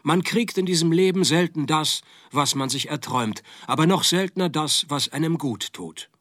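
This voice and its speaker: An actor playing a laconic, sardonic private detective who narrates and leads a radio play with deadpan wit. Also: male voice, on the high side, normal speed, no echo, clean studio sound, close to an everyday voice. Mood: wry, deadpan. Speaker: narrator and lead.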